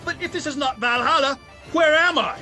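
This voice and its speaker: A man's voice: very high-pitched, fairly quick, pushed and bright, soft background music, heavily acted. A man playing a befuddled, mead-loving Viking warrior in a comedic scripted radio ad. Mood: dazed, eager.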